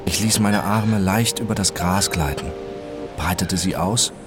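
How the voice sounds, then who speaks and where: A man playing a detached, obsessive first-person narrator in a radio drama, speaking in a measured, introspective way. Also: low voice. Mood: detached, absorbed. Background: music behind the voice.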